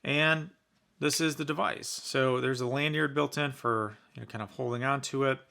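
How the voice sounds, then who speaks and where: A man's voice: mid-range voice, fairly even intonation, moderate pace, creaky, sharp tone. A man giving casual, conversational commentary as he reviews a product in an unboxing. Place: indoors in a basement.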